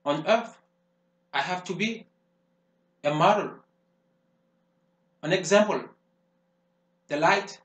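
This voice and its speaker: A fervent preacher, hammering his points in repeated phrases. His voice sits high for a man. The room rings with echo around him. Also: takes it slowly, pauses often, a bit bright. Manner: emphatic, repetitive preaching cadence. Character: fervent male preacher warning of the devil. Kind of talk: sermon. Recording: roomy echo.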